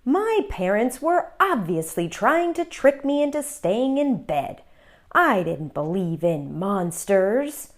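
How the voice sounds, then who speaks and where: A woman hosting a children's read-aloud, telling the story in an expressive, deliberate way for young listeners. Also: medium pitch.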